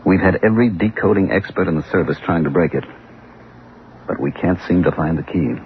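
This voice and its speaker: An actor playing a formal, measured security chief in an old-time radio drama. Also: male voice, low voice, close to an everyday voice. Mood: measured, official. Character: calm, official male chief of a security section. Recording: tinny, noisy vintage radio recording.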